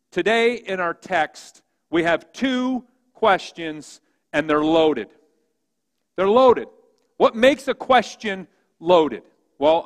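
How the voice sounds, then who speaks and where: A man preaching a sermon as pastor, with a big voice that swings from loud emphasis to quiet, hushed reading. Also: sits high, big-room sound. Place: church sanctuary.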